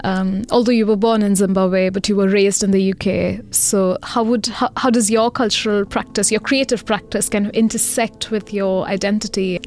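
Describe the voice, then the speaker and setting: A woman's voice: mid-range voice, steady tone, moderate pace, few pauses, a little mellow. A woman co-hosting a podcast, putting questions to a guest in a conversational way. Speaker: podcast co-host. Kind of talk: conversational interviewing.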